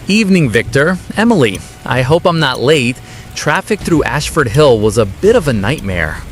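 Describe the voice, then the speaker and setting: A man acting a wry, quietly defiant artistic cousin in an audio drama, with a dry, teasing manner. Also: medium pitch, very animated, normal speed, slight background noise, natural voice. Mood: polite.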